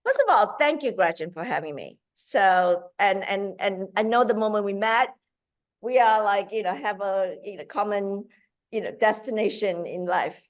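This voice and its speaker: A woman from Malaysia speaking in an interview as a guest. Her talk is animated and full of fillers and restarts. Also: mid-range voice, normal speed. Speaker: guest, founder of a kids' cooking program.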